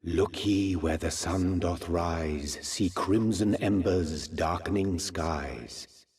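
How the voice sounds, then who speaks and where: Grave game bard chanting old verse, really low and slow, solemn. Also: male voice, a little mellow, distant mic. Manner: grave, measured, archaic.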